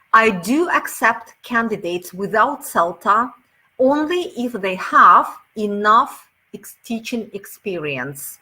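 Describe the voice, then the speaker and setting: A woman's voice: mid-range voice, sharp tone, husky, rough recording. A woman with a Russian accent, a webinar speaker answering audience questions in a thoughtful, explanatory way over a video call. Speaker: webinar speaker, teacher trainer.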